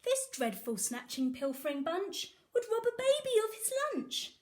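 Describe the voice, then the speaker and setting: A woman teacher reading a picture book aloud to young children, with animated, sing-song emphasis. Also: very high voice, normal speed, a little hiss or hum. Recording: echoey room.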